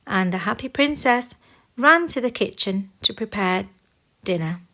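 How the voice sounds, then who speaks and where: A woman narrating a scripted children's fairy tale in an expressive, deliberate way. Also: medium pitch. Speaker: storyteller.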